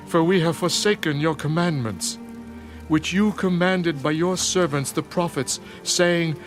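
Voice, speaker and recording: Male actor as anguished praying priest, higher-pitched, solemn pleading, measured phrases, dramatised Bible reading, faint music behind. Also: lightly acted.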